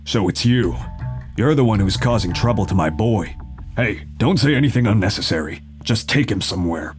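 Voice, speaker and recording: A man's voice: fairly low pitch, expressive, moderate pace, soft background music, natural voice. A man voicing an aggressive, bossy gang thug in a manga dub, with menacing delivery. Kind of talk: anime-style manga dub villain acting.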